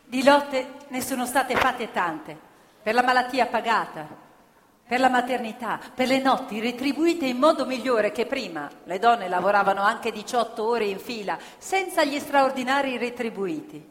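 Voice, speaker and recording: An actress playing a proud, impassioned storyteller of women factory workers, emphatic and theatrical in a radio theatre piece. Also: female voice, on the high side, lively intonation, moderate pace, lightly acted. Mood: recounting. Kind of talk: stage radio theatre narration in Italian. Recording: reverberant hall, loud live music behind. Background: loud live musical instruments.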